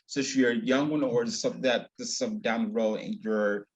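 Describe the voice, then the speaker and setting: A man's voice: medium pitch, steady tone, fast talker. Effusive male interviewer. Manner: effusive, repetitive questioning. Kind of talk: interview questions.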